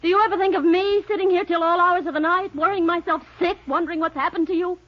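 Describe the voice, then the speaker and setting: A woman's voice: extremely high pitch, steady tone, fast talker. An actress in a 1950s radio drama playing a jealous, tormented wife, melodramatic as she swings between accusing and pleading. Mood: accusing, wounded. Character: jealous, tormented wife of a dance-band pianist. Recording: dull, lo-fi old radio recording.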